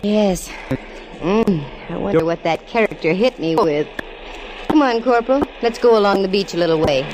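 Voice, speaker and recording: A woman's voice: mid-range voice, lively intonation, moderate pace, noisy background, lightly acted. A woman playing a tough, cool-headed sleuth, wry and clipped, in an old-time radio detective drama. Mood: urgent. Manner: wry, hard-boiled, clipped. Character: tough, cool-headed female sleuth narrating her case.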